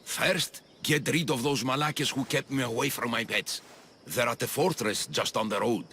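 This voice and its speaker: An actor playing an eccentric, obsessive doctor in a video game, fussy and jittery, doting and often repeating himself. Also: male voice, medium pitch, moderate pace, fairly bright tone, slight background noise, natural voice. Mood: fussy, resigned. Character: eccentric obsessive male doctor doting on his human pets. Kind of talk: video game character acting.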